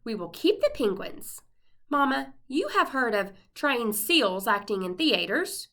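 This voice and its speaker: A mild, dreamy husband from a children's storybook, played by a woman reader, bright in tone. He speaks with gentle, thoughtful earnestness and steady optimism. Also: fairly high pitch, measured pace, heavily acted. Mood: eager, thoughtful.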